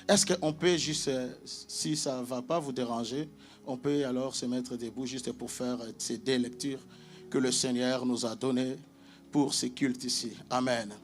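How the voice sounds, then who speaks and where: A man's voice: mid-range voice, fairly even intonation, a little slow. Guy preaching in a church hall, lots of amens, calls out to the crowd, faint music behind. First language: Portuguese. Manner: emphatic call-and-response with frequent amens. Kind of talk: sermon.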